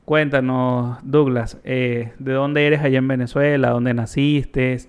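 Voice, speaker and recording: A man's voice: medium pitch, level tone, takes it slowly, clear, resonant tone. Man hosting a medical podcast. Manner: prompting, affirming interjections. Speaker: podcast host. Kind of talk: conversational interview.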